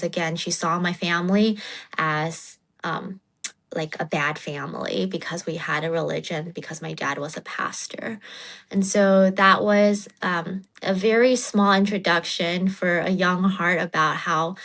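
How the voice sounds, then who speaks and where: Lower-pitched, halting woman telling her story. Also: fairly even intonation, a bit bright. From China, partly United States. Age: 30s. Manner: informal, halting, frequent "like". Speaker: interview guest, advocate. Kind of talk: personal storytelling in an interview.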